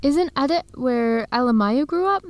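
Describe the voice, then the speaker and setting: An enthusiastic young American researcher in a radio drama. Her voice is high and very lively, warm and friendly in manner, recorded dry and close. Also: normal speed, no room sound. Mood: friendly, curious. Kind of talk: educational radio drama acting.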